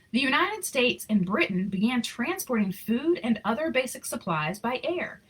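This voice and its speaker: A woman reading a picture book aloud in an expressive storytelling style, with casual, informal asides. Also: fairly high pitch, husky, low-quality recording. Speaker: reader of picture books aloud.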